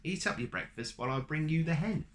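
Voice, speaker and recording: A man's voice: a little high, animated, fast, fairly bright tone, slight background noise, voice pushed up. A man voicing a kind giantess who hides a boy and warns him in a storybook reading. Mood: urgent. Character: kindly giantess, a big woman, hushed and urgent.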